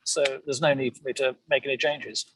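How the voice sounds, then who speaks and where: A British man, a voice actor, chatting casually in an interview with a halting, word-repeating delivery. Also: mid-range voice, fairly even intonation, fairly bright tone, slight background noise, low-quality recording. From Britain.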